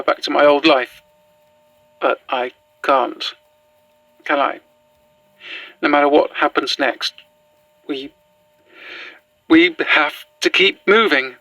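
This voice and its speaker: An actress playing an exhausted, shaken young archivist in a horror audio drama, hesitant and stubborn. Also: female voice, sits low, sharp tone, no room sound, full character voice, low-quality recording. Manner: hesitant, stammering, sighing. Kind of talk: found-footage horror audio drama acting.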